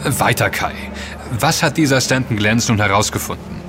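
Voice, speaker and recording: Actor as a low-voiced composed team leader, man. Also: moderate pace, noisy background, natural voice. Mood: probing. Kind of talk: German audio drama acting.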